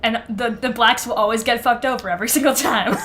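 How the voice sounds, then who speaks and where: A woman's voice: fairly high pitch, fairly even intonation, fast, fairly bright tone, slight background noise. A young woman co-hosting a podcast with sarcastic, giggly banter about a book. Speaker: podcast co-host. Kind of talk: casual comedic book discussion.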